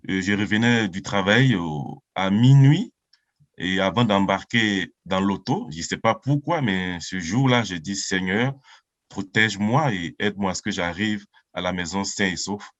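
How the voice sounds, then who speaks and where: A man in his forties from Côte d'Ivoire giving an earnest, halting personal testimony as a baptism candidate. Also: low-pitched, dry recording. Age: 40s.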